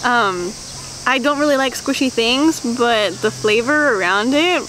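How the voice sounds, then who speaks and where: Bubbly high-voiced young woman vlogging. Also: a little slow, poor audio. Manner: bubbly and animated, with gasps and laughs. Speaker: travel vlogger. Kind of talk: casual chat. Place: outdoors on a riverbank. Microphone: camera held at arm's length.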